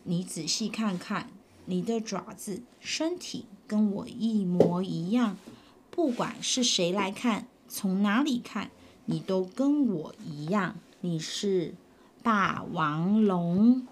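A woman voicing a big male tyrannosaurus in a storybook, speaking low, slow and insistent. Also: slight background noise, natural voice. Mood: insisting.

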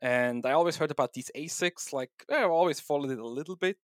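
A man's voice: on the high side, quick pace, no echo. Swiss-accented man, dry close sound. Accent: Swiss German. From Switzerland. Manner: relaxed, rambling with many fillers. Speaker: podcast guest. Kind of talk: casual interview talk.

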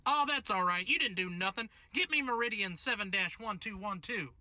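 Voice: mid-range voice, fairly quick, sharp tone, no echo, dull audio. An actor's brash, piercing New wise guy. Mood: smug. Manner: slangy, boisterous, repeats himself. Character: brash, cocky, tipsy American man from New York. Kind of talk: old-time radio drama acting.

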